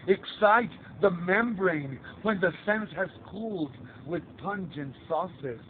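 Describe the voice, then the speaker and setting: A man reciting a poem in a dramatic, theatrical, declamatory way, in halting phrases that swell in force. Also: fairly high pitch, phone-quality sound, a little hiss or hum.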